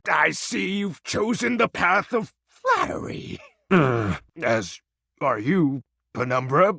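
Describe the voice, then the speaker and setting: An actor playing a grandiose, brooding shadow lord in a video game, speaking in a pompous, archaic, melodramatic way with a gravelly, husky voice. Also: male voice, fairly high pitch, expressive, takes it slowly, bright, forceful tone, no room sound, studio quality, exaggerated voice. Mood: flustered, theatrical. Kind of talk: theatrical video game character acting.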